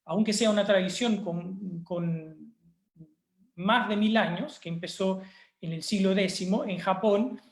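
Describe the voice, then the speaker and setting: Man lecturing in an echoey room. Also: sits high. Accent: Chilean Spanish. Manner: thoughtful, pauses with fillers and restarts. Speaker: university lecturer. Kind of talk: online course presentation. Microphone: video call.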